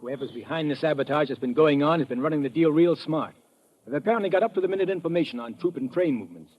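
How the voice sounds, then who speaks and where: A terse, serious field agent in an old radio play. He talks fast in a husky voice, on a dull, lo-fi recording. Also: on the high side. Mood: grim. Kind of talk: 1950s radio drama acting.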